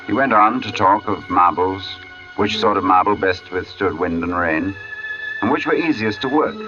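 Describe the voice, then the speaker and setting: An actor playing an uneasy male artist who narrates an uncanny tale in a deliberate, suspenseful old radio drama style. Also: low-pitched, lightly acted. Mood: measured. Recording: muffled old radio broadcast, music behind.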